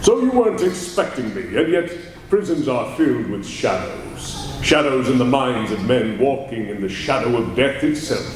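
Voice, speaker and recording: An actor playing a mysterious male avenger who taunts a prisoner with slow, menacing words and soft laughs, in old radio drama style. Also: mid-range voice, sing-song, close to an everyday voice. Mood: taunting. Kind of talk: 1930s radio drama acting. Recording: old roomy radio recording.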